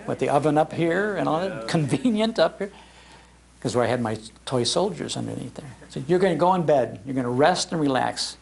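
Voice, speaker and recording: Older American male speaker, creaky-voiced, for folksy nostalgic storytelling delivered live with emphasis. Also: on the high side. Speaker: speaker giving a talk on old-time radio. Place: public library talk before an audience.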